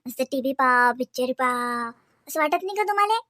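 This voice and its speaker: A woman's voice: high-pitched, expressive, fairly quick, pushed and bright, no room sound, studio quality. A woman playing a chatty, dreamy, playful young housewife in a comedy cartoon, speaking with a Varhadi Marathi accent from Vidarbha in a dramatic, giggly manner. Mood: teasing, coaxing.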